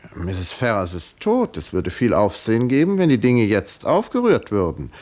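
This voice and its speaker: A discreet, observant country doctor who narrates a 1950s German radio play. His voice sits low and moves with lively intonation, while his delivery stays measured, polite and articulate. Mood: concerned, probing.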